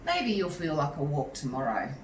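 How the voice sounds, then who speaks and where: A woman voicing an eager, kind young boy in a children's storybook, friendly and excitable. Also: low-pitched, normal speed, pitched below the performer's own voice. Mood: friendly, coaxing. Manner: excited, friendly, bright-eyed exclaiming. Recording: roomy echo, distant mic, some noise.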